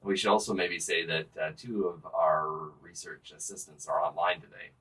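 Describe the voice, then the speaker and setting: A man hosting a casual podcast discussion with research assistants, relaxed and joking, trailing off at the ends of phrases. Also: low-pitched, normal speed, breathy edge.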